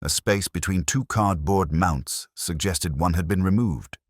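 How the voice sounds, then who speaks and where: A man reading a scripted first-person detective story in a measured, reflective, literary manner. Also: low-pitched, no room sound, studio quality.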